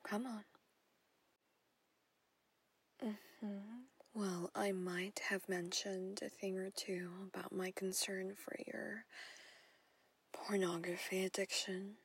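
A woman's voice: medium pitch, level tone, slow pace, heavily acted. A woman in a whispered audio roleplay, playing a jealous, possessive stepmother who coaxes and controls. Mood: coaxing, concerned. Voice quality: breathy whisper. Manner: intimate whispering, coaxing and controlling.

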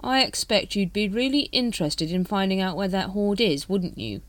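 A woman's voice: mid-range voice, normal speed, dry recording, close to an everyday voice. A woman voicing a weary, sardonic male watch captain in a storybook, dry and resigned in manner.

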